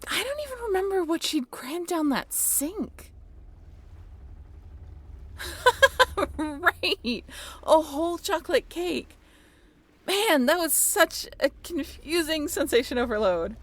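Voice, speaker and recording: A woman's voice: sits high, lively intonation, a little slow. A woman playing a friendly, handy young sailing ship crew member in an intimate audio roleplay, talking in a casual, chatty way. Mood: amused, reminiscing.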